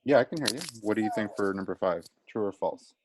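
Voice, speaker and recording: A man's voice: on the low side, fast, crowd noise. A man teacher leading a small online class discussion, encouraging students and checking in often. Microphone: video call.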